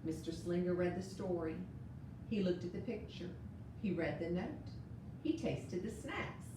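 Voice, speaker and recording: A woman reading a children's picture book aloud as an expressive storyteller for young listeners. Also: lowish voice, measured pace. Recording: very echoey room, distant mic, noise.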